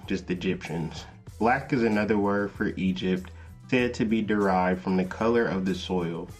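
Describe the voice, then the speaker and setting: Low-voiced man reading with slangy asides. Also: a little mellow. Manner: reads passages aloud, then breaks off into slangy asides to listeners. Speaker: podcast host. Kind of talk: casual lecture reading from a text.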